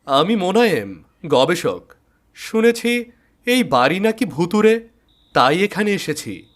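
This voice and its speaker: A bold, curious male ghost researcher in a horror tale , played by a man. He declares his intentions with determination, pitched a little high. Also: sing-song, takes it slowly, fairly bright tone, heavily acted. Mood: confident.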